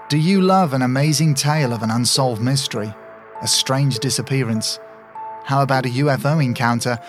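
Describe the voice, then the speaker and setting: A man reads a scripted podcast promo. His pitch is middling for a man. Music plays clearly behind him throughout. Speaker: podcast host. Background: music bed.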